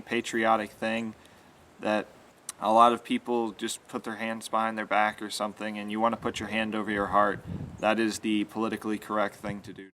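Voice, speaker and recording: A man's voice: lowish voice, fairly bright tone. A young man giving an earnest instructional explanation to camera, stressing his key words. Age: young adult. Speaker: sports announcing instructor. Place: outdoors in a yard with trees. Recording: clear outdoor background noise.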